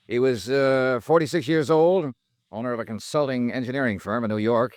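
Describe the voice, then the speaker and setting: An actor playing a brisk, skeptical insurance investigator in a 1950s radio drama, clipped and wry in his delivery. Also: mid-range voice, no room sound, studio quality, lightly acted. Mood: businesslike. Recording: vintage 1950s radio recording.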